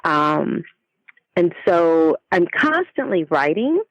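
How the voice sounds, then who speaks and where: A woman being interviewed as an author, telling her stories in an expressive, conversational way. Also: low-pitched, dry recording, dull audio. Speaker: guest, children's book author.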